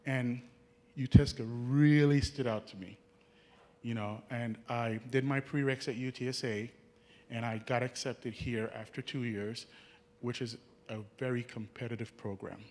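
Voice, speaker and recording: A young Jamaican man, a nursing student, telling an audience about his path in an earnest, halting way, with many restarts. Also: medium pitch, steady tone, a little mellow. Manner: earnest, halting, frequent restarts, chuckles at his own stories. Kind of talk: personal story talk to an audience.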